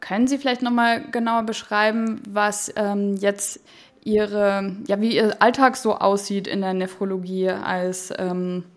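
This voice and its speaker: A woman's voice: mid-range voice, flat delivery, unhurried. A woman hosting a medical podcast, reading a scripted introduction and asking questions with some hesitation. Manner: friendly, clear, with hesitant questions. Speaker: podcast host.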